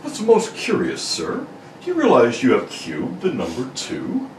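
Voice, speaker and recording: A man's voice: mid-range voice, huge pitch swings, darkish tone, pitched below the performer's own voice. A man voicing a polite, formal butler guarding a secret house in an amateur read of a radio serial. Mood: courteous, formal. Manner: formal, deliberate servant-like speech. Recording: echoey room, distant mic, faint noise.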